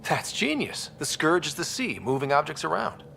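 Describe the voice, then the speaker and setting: A man's voice: fairly high pitch, sing-song, moderate pace, vocal fry, sharp tone, a little hiss or hum, full character voice. A man playing an earnest young leader of space explorers in a video game, casual yet resolute. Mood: curious. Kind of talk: video game cutscene acting.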